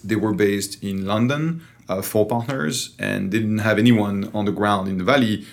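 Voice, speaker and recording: Gravelly middle-aged French man. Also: on the low side, moderate pace. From France. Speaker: interview guest, venture investor. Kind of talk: storytelling in an interview.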